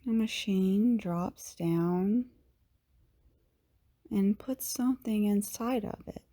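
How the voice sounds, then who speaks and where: A woman narrates a guided meditation at an extremely slow pace. Her voice is soft and mellow, sitting at an average pitch. She leaves long pauses between short phrases and lets the endings go hushed. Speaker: yoga teacher, meditation guide.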